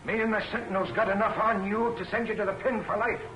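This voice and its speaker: Excitable high-voiced blustering newsman. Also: fast talker, poor audio. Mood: defiant. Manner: blustering, folksy old-fashioned exclamations. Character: excitable, defiant bumbling male newspaper reporter. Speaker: actor in a crime film serial. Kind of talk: 1940s film serial acting. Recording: old film soundtrack with music behind.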